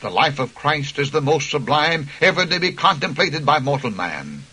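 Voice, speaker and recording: A man's voice: fairly high pitch, sing-song, normal speed, sharp tone, breathy edge. A man preaching a radio sermon with impassioned, emphatic delivery, citing scripture chapter and verse.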